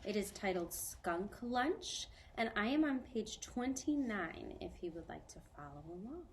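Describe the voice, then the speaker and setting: A woman teacher reading a children's novel aloud to a student, clear, measured and gentle. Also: medium pitch, big pitch swings, a little hiss or hum.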